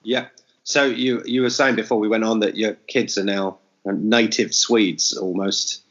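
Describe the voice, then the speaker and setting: A man hosting a podcast, asking questions of a church leader in a relaxed, easygoing way. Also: fairly low pitch, unhurried, dull audio. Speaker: podcast host. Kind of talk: podcast interview.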